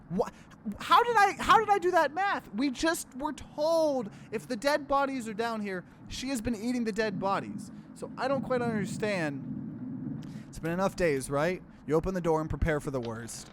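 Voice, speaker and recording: A man doing a let's play, reading game text aloud and breaking in with animated, bewildered reactions. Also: high-pitched, noisy background.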